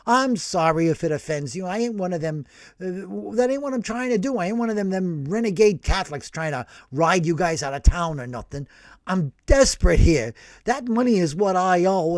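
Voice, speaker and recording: A man voicing a brash, excitable older working-class man who tells his story in animated slang. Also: high-pitched, normal speed, dry recording, studio quality. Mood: desperate.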